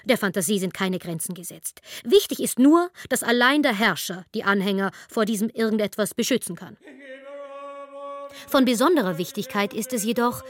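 A woman's voice: mid-range voice, animated, normal speed, dry recording, lightly acted. A woman playing a sardonic lecturer in a radio play, matter-of-fact and drily ironic. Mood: dry, ironic. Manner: matter-of-fact, dry irony. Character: sardonic female lecturer on rule and power.

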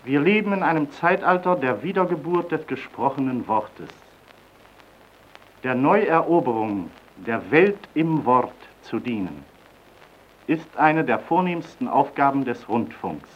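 A man's voice: mid-range voice, expressive, unhurried, highs cut off. A man giving a solemn, declamatory radio address in formal 1920s style, on an old archival recording.

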